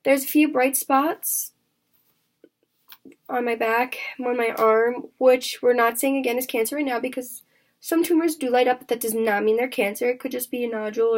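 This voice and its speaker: A young woman in her twenties is talking openly with her vlog audience. Her voice sits high and has a gravelly, raspy edge. She speaks in halting stretches, full of fillers and restarts. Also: darkish tone. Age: 20s. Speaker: vlogger. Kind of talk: candid personal vlog chat.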